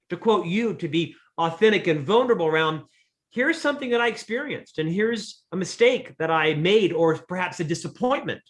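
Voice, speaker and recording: A man's voice: sits high, a bit bright. A middle-aged man speaking as a podcast guest, telling candid, self-deprecating stories about leadership in a conversational way. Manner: earnest, self-deprecating storyteller. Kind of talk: conversational interview.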